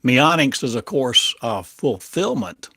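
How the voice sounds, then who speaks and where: A man delivering a channeled prophetic monologue in halting, fragmented, oracular phrases full of coined words. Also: mid-range voice, sing-song, pushed and bright, no room sound.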